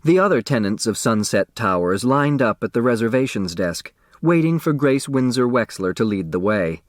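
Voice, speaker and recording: A man reading a novel aloud as an audiobook narrator, giving expressive colour to the characters. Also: mid-range voice, moderate pace, dry recording, studio quality.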